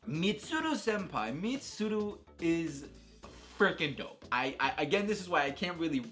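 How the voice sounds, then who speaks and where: A man's voice: on the high side, sing-song, normal speed, fairly bright tone, faint music behind. A man giving casual, unscripted opinions as a video creator, talking in an enthusiastic, slangy way and repeating phrases for emphasis. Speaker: video creator reviewing a game.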